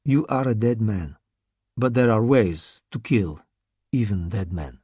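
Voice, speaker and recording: A man voicing a big masked male sorcerer with a Russian accent who speaks slowly and calmly with quiet menace. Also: lowish voice, husky, no room sound, studio quality, voice pushed down. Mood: cold menace. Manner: slow, calm, quietly threatening.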